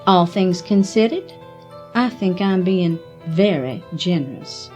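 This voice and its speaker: A woman's voice: on the low side, lively intonation, takes it slowly, darkish tone, soft background music. Actress as scheming oil lady in an audio drama, defiant, takes her time, voice swoops around. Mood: smugly triumphant. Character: defiant, calculating oilwoman out to blackmail a rival.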